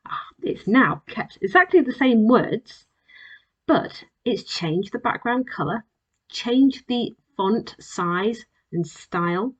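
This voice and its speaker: Lower-voiced woman giving a software demonstration. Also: breathy edge. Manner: plain, step-by-step explaining. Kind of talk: instructional screen demonstration. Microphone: voice-over on a screen recording.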